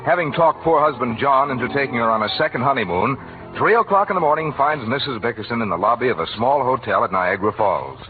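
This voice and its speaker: A man's voice: medium pitch, animated, fast talker. Male vintage radio announcer over music. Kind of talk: scripted 1940s radio narration. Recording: tinny old 1940s radio recording.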